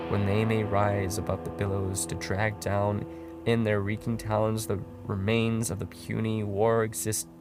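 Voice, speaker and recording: A man playing a broken, panic-stricken sailor, telling a horror story in a theatrical, halting way with stumbled words. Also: lowish voice, vocal fry. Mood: haunted dread. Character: broken, panic-stricken male sailor confessing before death. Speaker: audiobook narrator. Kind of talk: dramatic first-person horror story narration. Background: droning guitar music.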